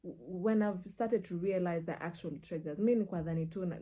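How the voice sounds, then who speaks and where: A woman's voice: lowish voice, a little fast. A woman with a Kenyan accent telling personal stories casually and expressively, slipping between languages and acting out dialogue. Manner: expressive, acts out dialogue and reactions. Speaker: media personality and storyteller. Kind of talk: casual personal storytelling, mixing in Swahili.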